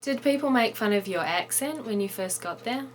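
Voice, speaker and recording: A woman's voice: on the high side, expressive, normal speed. Woman reading short news, then interviewing. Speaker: host and news reader.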